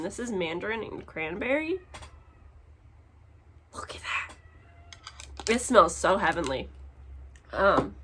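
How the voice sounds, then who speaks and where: A woman chatting casually to her vlog audience in an expressive, giggly, exclaiming way, as a book vlogger showing her purchases. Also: medium pitch. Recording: clear background noise.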